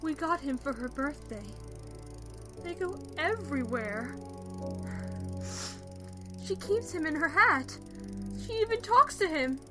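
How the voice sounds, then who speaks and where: A woman's voice: sits high, expressive, slow pace, pauses often, over music. A woman playing a tearful, fretful mother pony in an audio drama. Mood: tearful, anxious.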